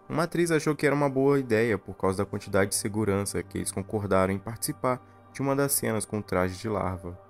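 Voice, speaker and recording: A man with a Brazilian accent narrates a scripted horror recap. His voice sits on the low side. Music plays behind him as he reads. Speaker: video narrator.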